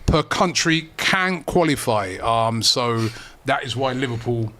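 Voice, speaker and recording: A man's voice: mid-range voice, unhurried. Chatty man hosting football talk. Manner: relaxed, lots of fillers and restarts. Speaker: host. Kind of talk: casual football chat.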